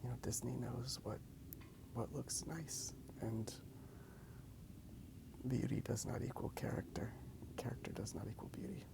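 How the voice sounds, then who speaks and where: A man rambling softly and hesitantly in a hushed ASMR style, recorded outdoors on a handheld phone. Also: medium pitch, a little slow, vocal fry. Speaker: host of a drawing ASMR video. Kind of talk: soft-spoken ASMR rambling. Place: outdoors in a park.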